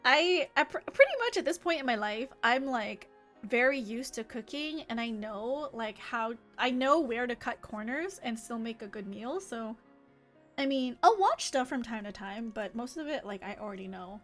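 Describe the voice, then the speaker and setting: A woman's voice: fairly high pitch, expressive, moderate pace, fairly bright tone. A woman livestreaming a game, chatting in an informal, rambling way. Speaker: live streamer playing an online game. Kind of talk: casual livestream chat and reading game dialogue aloud. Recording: music behind the voice. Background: game music.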